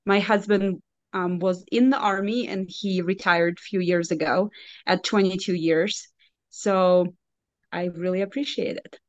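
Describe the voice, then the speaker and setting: A woman's voice: on the low side, steady tone, unhurried, no echo, dull audio. A woman in her forties from Belarus with a Belarusian accent, Russian her first language, speaking as an interview guest in an earnest, emphatic way. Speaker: guest nurse coach. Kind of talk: casual interview chat.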